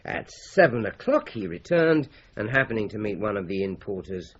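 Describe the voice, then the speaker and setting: A man playing a shrewd, methodical British police inspector in a radio drama, brisk and authoritative. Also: fairly low pitch, sing-song, a little hiss or hum. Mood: thoughtful, dogged. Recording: slightly dull radio drama recording.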